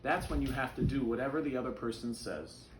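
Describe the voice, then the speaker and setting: A man's voice: medium pitch, animated, fairly quick, echoey room, noisy background, lightly acted. A man voicing a curious, earnest young girl in a picture book, with plain, questioning delivery.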